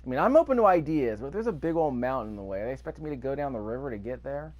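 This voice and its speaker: A man's voice: mid-range voice, expressive, racing, lo-fi sound. A man giving casual gameplay commentary, thinking aloud with mild grumbling and rhetorical questions. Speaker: video game player narrating a playthrough.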